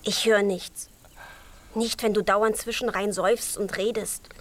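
A woman's voice: medium pitch, steady tone, measured pace, a bit bright, slight background noise, lightly acted. A woman playing a bored, bossy little chick, blunt and teasing, in a children's radio play. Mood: grumpy, bored.

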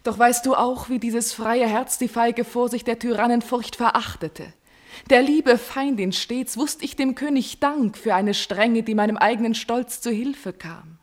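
An actress playing a proud, sorrowful princess in a classical verse radio drama, speaking in a solemn, deliberate, declamatory way. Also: female voice, fairly high pitch. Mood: grieving, proud. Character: proud sorrowful captive princess of royal blood, secretly in love.

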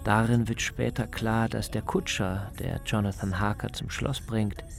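Male radio reporter, low gravelly edge, scripted travel narration over soft music. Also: fairly even intonation, measured pace.

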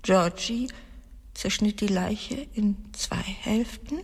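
An actress playing a cold, haunted murderess in a radio play, speaking haltingly and deliberately in a breathy, husky, creaky voice that often sinks near a whisper. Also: female voice, medium pitch. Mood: cold, confessional. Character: cold, haunted murderess confessing her killing, named Ramona. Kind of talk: dramatic radio play monologue. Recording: long hall-like reverb.

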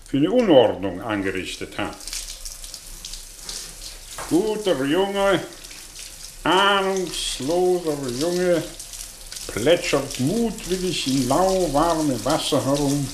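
Radio drama casting: vain, sardonic older professor, slow and high-pitched for a man, wildly animated delivery. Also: slight background noise. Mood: mocking. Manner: theatrical, sardonic, grandiloquent. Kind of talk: 1960s German radio play acting.

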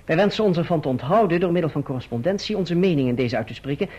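Earnest, husky young gentleman. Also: a little high, animated, quick pace. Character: earnest, anxious young gentleman in love, narrating his story. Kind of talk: radio play acting and narration. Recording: dull lo-fi radio drama recording.

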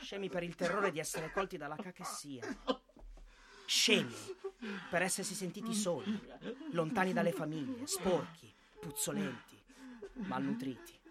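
An actor playing an anguished, bitter soldier son in an impassioned, insistent and sardonic theatrical monologue. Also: male voice, high voice, expressive, normal speed, pauses often, a crowd in the background.

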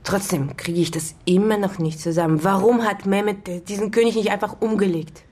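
An actress playing a sober, probing investigator in a radio drama, questioning in a matter-of-fact manner. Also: female voice, medium pitch, steady tone, moderate pace, a little mellow, lightly acted. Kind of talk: German radio drama acting.

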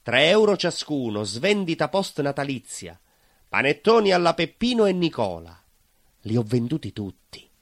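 Brooding baker, dry close sound. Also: male voice, fairly high pitch, very animated, no echo. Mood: wry, bitter. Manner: brooding, dramatic pauses and repetitions. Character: bitter southern Italian baker confessing to vengeful murder. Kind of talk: theatrical monologue, intimate confessional storytelling.

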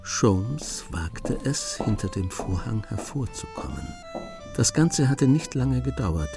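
A man narrating a scripted radio drama in a measured, storytelling manner. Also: medium pitch, sing-song, gravelly, over music.

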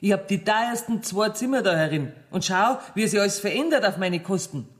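An actress plays a proud, scheming Bavarian businesswoman in a radio play. Her delivery is very animated, with big swings in pitch. She speaks broad dialect in a pushy, commanding way. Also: brisk, lightly acted. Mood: bossy, scheming. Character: brash, calculating Bavarian businesswoman with money, bossy and proud.

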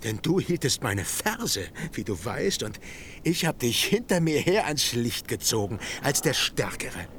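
Coarse effusive brother in a radio play, slow drawn-out talk, pitch all over the place. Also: male voice, sing-song, a bit bright, slight background noise. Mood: effusive, brotherly. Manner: laughing, theatrical, drawn-out delivery. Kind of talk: German literary radio drama acting.

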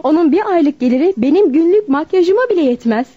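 A muffled radio play recording with faint music. a snobbish, scornful young woman, high and swooping in pitch, with a soft, dark tone. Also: expressive, quick pace. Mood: mocking, contemptuous. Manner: scornful, mocking. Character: haughty, mocking, cruel young socialite woman. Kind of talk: Turkish radio drama acting.

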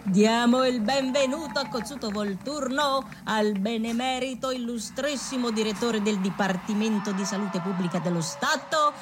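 A woman's voice: on the high side, moderate pace, few pauses, natural voice. A woman playing a haughty, pompous establishment announcer, oratorical and mock-ceremonious in satirical radio theatre. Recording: clearly noisy ambience.

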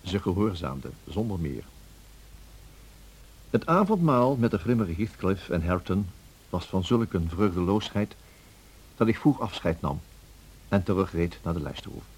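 Refined gentleman narrator in a radio play, deep n husky, measured but melodic. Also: very animated, exaggerated voice, low-quality recording. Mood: composed, reflective. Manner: measured, formal storytelling. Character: gentleman visitor narrating his memories, refined, observant.

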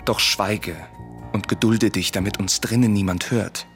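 Grave low-voiced exiled prince in a greek tragedy radio play, protective, urgent, music behind. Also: male voice, fairly even intonation, measured pace. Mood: urgent caution. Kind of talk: solemn radio drama acting of verse tragedy.

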